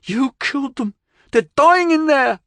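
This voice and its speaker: A man voicing a young male street ganger who yells in shrill panic and defiance. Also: fairly even intonation, slow, dry recording, clean studio sound, full character voice. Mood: panicked yelling. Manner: shrill yelling.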